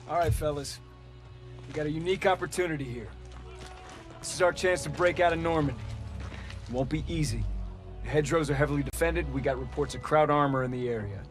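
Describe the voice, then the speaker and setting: A man playing a steady, encouraging army officer in a war game, speaking with measured authority. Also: fairly high pitch, soft background music, lightly acted. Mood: resolute. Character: steady, encouraging male army officer rallying his platoon. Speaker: commanding officer. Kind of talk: military drama acting in a war game cutscene.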